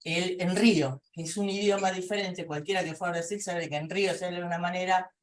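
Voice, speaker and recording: A woman's voice: on the low side, fairly even intonation, brisk. A middle-aged woman with an Argentine accent, a psychoanalyst telling clinical anecdotes in a seminar discussion. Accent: Argentine Spanish. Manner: conversational, tells clinical anecdotes.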